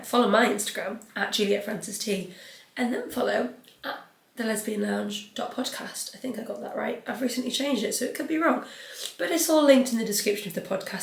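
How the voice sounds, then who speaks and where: A woman's voice: mid-range voice, vocal fry, reverberant. A young woman hosting a podcast solo, chatty and rambling, sounding stuffy and sniffly from a cold. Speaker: podcast host. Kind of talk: casual solo podcast chat.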